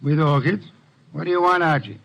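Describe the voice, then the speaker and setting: Snooty genius detective, kinda higher voice, precise n curt, old radio show. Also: male voice, fairly even intonation, fairly quick, creaky, fairly bright tone, highs cut off, a little hiss or hum. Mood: irritated, dry. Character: imperious, dry genius private detective, a mature man. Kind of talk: old-time radio drama acting. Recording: vintage radio drama recording.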